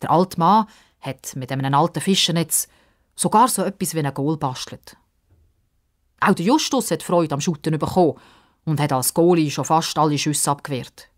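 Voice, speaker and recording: A woman's voice: deep voice, moderate pace. A woman with a Swiss accent narrating a children's story expressively.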